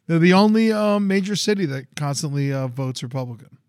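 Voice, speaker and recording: A man co-hosting a casual comedy chat, trading wry, deadpan jokes. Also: fairly high pitch, brisk, no room sound, studio quality. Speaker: comedian co-host.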